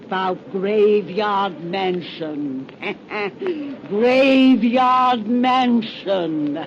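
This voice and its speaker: A woman's voice: medium pitch, lively intonation, extremely slow. A cackling, cracked-voiced crone spins ghoulish yarns in homely country dialect. She is gleeful and cozy about it, in 1930s radio horror style, on a muffled recording thick with noise. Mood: cackling menace.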